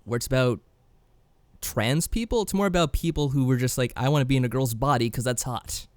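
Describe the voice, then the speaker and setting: Laid back guy co-hosting a podcast, medium pitch, no room sound, kinda deadpan replies. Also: brisk, no echo. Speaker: podcast co-host. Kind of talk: casual chat.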